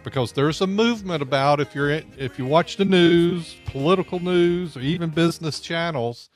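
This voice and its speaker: A man's voice: fairly high pitch, normal speed, soft background music. A man, hosting a live financial talk radio show in a chatty way, often repeating words as he goes. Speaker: radio host. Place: radio studio. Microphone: studio microphone.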